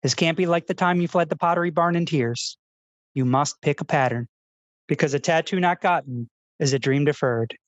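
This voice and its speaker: A man playing an earnest, insecure public-radio essayist with a precise, soft, overly sincere delivery in a radio comedy. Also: a little high, moderate pace, no echo, highs cut off, natural voice. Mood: wistful solemn. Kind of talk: confessional public-radio narration parody.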